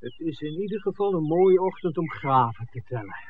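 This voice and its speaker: A man's voice: fairly high pitch, animated, a little fast, narrow, tinny audio, a little hiss or hum, lightly acted. A man playing a dry, easygoing film maker in a radio drama from the 1960s, on a thin old recording. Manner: dry, wry. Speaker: radio play actor.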